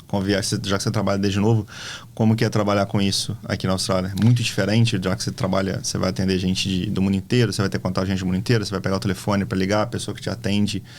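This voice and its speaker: A man interviewing a guest, asking long, rambling questions in a relaxed way. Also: sits low, very fast, a little hiss or hum. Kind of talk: casual conversational interview.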